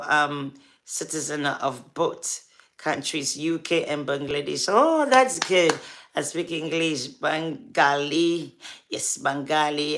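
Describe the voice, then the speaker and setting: A woman's voice: low-pitched, a bit bright. A woman with a Ghanaian accent, a gospel artist hosting a casual livestream, chatting with viewers by name and urging them to subscribe.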